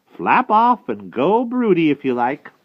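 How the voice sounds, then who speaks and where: A man's voice: high voice, big pitch swings, measured pace, dry recording, highs cut off, pitched above the performer's own voice. A man voicing a cruel storybook witch, an older woman who gloats and coos with theatrical menace. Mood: scolding. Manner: theatrical cooing, gleefully menacing.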